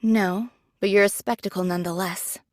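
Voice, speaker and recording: A plain-spoken female android foot soldier , voiced by an actress, talks in a medium-pitched voice. She is candid and earnest, with reproach mixed into her admiration. The game drama recording is very dry. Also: steady tone, normal speed, no room sound, lightly acted. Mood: wry. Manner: frank, earnest, imploring.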